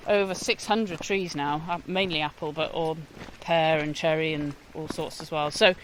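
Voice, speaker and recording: A woman's voice: sits low, fairly even intonation, a little hiss or hum, low-quality recording. Thoughtful Welsh woman on a hill walk. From Wales. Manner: thoughtful, hesitant, often pauses mid-phrase. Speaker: guest musician. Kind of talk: casual outdoor interview.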